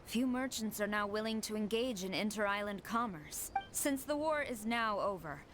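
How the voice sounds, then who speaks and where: A woman's voice: medium pitch, animated, moderate pace, a bit bright, slight background noise, close to an everyday voice. A woman voicing a stern, dutiful military commander in a video game, formal and businesslike. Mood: firm, businesslike. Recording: game music behind the voice.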